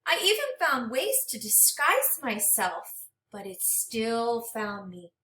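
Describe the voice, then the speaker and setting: A woman reading a picture book aloud to young children like a teacher, expressively and dramatically, pausing to speak to her listeners. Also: a little high, huge pitch swings, sharp tone, slight background noise. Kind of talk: interactive children's read-aloud with questions to listeners. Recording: echoey room, distant microphone.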